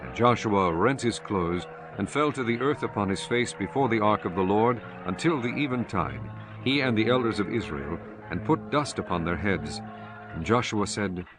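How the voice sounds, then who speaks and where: Low-voiced man narrating scripture solemnly. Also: animated. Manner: solemn, measured. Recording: music behind the voice.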